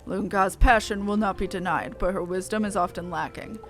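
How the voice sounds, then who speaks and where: A woman plays a stern, honor-bound beastman elder of a fantasy game. The speech is formal, archaic and measured, weighed with solemn duty. Music plays behind the voice. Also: medium pitch, steady tone, a bit bright, voice pushed down, close to an everyday voice. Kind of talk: reading game dialogue aloud.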